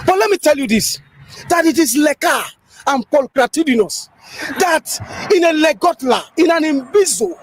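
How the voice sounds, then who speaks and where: A man's voice: very high voice, lively intonation, normal speed, no echo. A man declaiming bombastic political oratory, stacking long obscure words with emphatic repetition.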